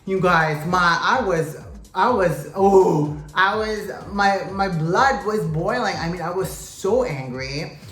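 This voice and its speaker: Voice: mid-range voice, slow pace, fairly bright tone. A pageant vlogger giving a casual recap to camera, sassy and gossipy, often calling out to viewers as "you guys" and "queens". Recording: roomy echo, faint music.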